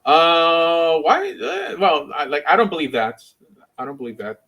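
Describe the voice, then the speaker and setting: A man's voice: on the high side, very animated, unhurried, creaky, sharp tone, highs cut off. A man speaking casually as a rabbi and livestream host, rambling and opinionated in an informal way. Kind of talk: casual livestream chat and debate.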